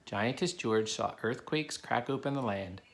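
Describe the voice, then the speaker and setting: A man reads a picture book aloud to children. He speaks simply and directly, as to a young listener, at an ordinary middle pitch. There is clear noise in the background of the recording. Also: measured pace. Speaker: adult reading to a child helper.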